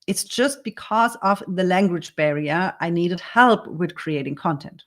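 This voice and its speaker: A woman with a German accent talks through her answers at an earnest, step-by-step pace. Her voice sits a bit low. She explains as a copywriter teaching a workshop, speaking English, which is not her first language. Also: animated, unhurried, a bit bright. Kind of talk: dictated spoken answers in a workshop demo.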